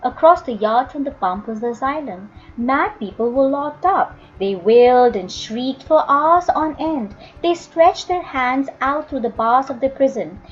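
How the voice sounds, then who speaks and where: A woman reading a story aloud as an expressive audiobook narrator. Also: high voice, a little slow, hardly any pauses, dull audio.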